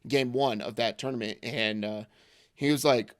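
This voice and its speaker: A man in his thirties with an American accent, co-hosting a casual sports podcast, hoarse from losing his voice, rambling with lots of fillers and jokes. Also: medium pitch, steady tone, moderate pace, dry recording, studio quality. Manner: rambling, filler-heavy, joking. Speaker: podcast co-host.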